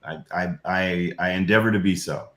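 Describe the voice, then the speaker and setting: A man's voice: deep voice, steady tone, normal speed. A middle-aged American man, a guest on a show, telling personal stories in a conversational way. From United States. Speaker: guest, filmmaker. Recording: echoey, roomy sound.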